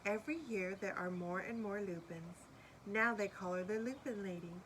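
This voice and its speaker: A woman reads a picture book aloud for young listeners. Her delivery is expressive, with room for asides and questions to whoever is listening. Faint noise sits behind her medium-pitched voice. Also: normal speed. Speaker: storybook reader.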